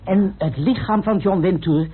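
An actor playing a methodical researcher explaining evidence precisely in a Dutch radio play. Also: male voice, sits high, moderate pace, breathy edge. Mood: methodical, earnest. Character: Peacock, methodical, meticulous male researcher laying out evidence. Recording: muffled, band-limited old radio recording.

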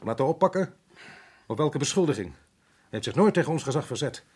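An actor playing a harried, indignant middle-aged colonial resident in a radio drama, exasperated and sarcastic. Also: male voice, a little high, expressive, fairly quick, darkish tone, slightly rough. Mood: indignant, bitter.

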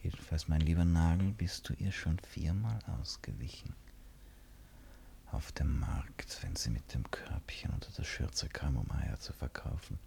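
A deep, creaky, brooding man. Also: lively intonation, measured pace, mellow, in a whisper, full character voice. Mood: wistful, intimate musing. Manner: musing, self-questioning, theatrical. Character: brooding, ironic, impulsive man musing to himself. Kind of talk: radio play acting, interior monologue. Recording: studio radio play with faint music.

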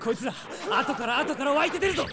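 An actor playing a young, earnest, emotional heroic prince in a fantasy audio drama, urgent and boyish in speech. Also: male voice, mid-range voice, wildly swooping pitch, very fast. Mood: alarmed, defiant. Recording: loud music under the voice. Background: loud dramatic music.

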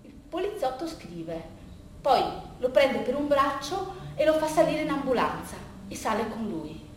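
An echo-heavy, distant recording with faint noise carries a woman's high voice. She performs a storytelling monologue for the theatre. Her delivery is conversational and halting, with phrases often repeated. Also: big pitch swings.

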